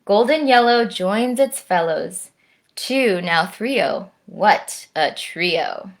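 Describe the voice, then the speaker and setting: A woman, around her thirties, speaking to young children in an expressive storytime style, slowly and directly, recorded at home on a device microphone. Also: medium pitch, a little hiss or hum. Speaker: storytime presenter, orchestra musician. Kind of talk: children's read-aloud and friendly chat to camera. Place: at home, indoors.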